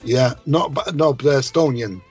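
A man from Malta with a low voice, talking about his songwriting in a casual interview, often hesitating and repeating words. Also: moderate pace, a little mellow, breathy edge, soft background music. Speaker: songwriter guest.

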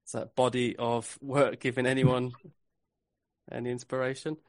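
A man's voice: medium pitch, little pitch movement, darkish tone, no room sound. Dry-sounding male quizmaster. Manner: reads clues slowly and repeats them. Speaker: podcast host and quizmaster. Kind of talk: casual podcast quiz.

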